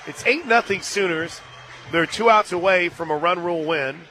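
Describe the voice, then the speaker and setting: A man calling a live softball game on radio from a stadium booth, climbing into excited shouts on big plays and laughing easily. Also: sits high, normal speed. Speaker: radio play-by-play announcer. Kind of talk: live radio sports play-by-play.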